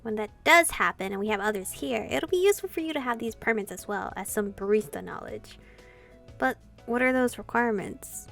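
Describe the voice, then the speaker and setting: A woman hosting a gameplay channel, reading dialogue aloud and commenting in an expressive way, free with swearing. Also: on the high side, a little fast, creaky. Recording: game music behind.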